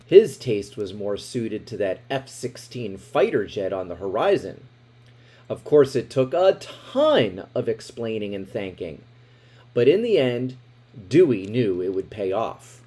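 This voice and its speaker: A man reading a children's picture book aloud in an expressive way, with dramatic emphasis. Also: on the low side, unhurried, husky. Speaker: storybook reader.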